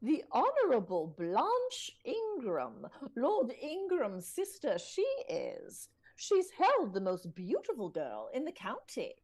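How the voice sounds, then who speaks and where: Give me a gossipy, kindly older housekeeper from old-time radio, fussy and confiding, pitch swooping dramatically up and down. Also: female voice, wildly swooping pitch, slow, hardly any pauses, darkish tone, exaggerated voice. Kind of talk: vintage radio drama acting.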